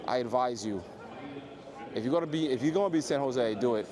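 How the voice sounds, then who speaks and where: A man with a low voice chatting casually and rambling to his livestream viewers as he walks through an airport terminal. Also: lively intonation, fairly quick, crowd noise. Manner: rambling, joking, talks quietly. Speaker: IRL livestreamer. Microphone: phone or camera carried while walking. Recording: noisy phone livestream audio.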